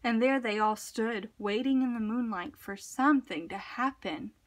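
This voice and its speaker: A woman reading a children's picture book aloud in an expressive, deliberate, dramatic narration style. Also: a little high. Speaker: storybook reader.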